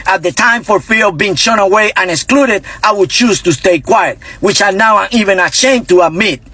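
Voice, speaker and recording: A man's voice: high voice, moderate pace, pushed and bright, no echo. A man in his fifties from Puerto Rico with a Puerto Rican Spanish accent, a native Spanish speaker and army veteran, telling his story in a blunt, earnest monologue with occasional cursing. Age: 50s. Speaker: army veteran turned political commentator. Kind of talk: storytelling monologue on social media.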